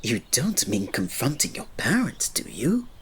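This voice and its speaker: A man playing a reserved, devoted royal servant in an ASMR romance roleplay, speaking softly, politely and formally with a breathy voice. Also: medium pitch, wildly swooping pitch, a little slow, exaggerated voice. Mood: earnest, questioning. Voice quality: soft, breathy. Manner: soft, polite, formal, restrained, often dropping to a breathy murmur.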